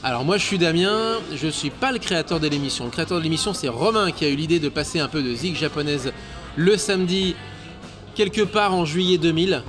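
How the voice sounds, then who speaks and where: A man's voice: fairly high pitch, a little fast. Chatty man in his thirties, music behind. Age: 30s. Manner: chatty, hesitant with many fillers and stammered repeats. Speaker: guest, radio show co-host. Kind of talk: casual interview chat. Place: bar.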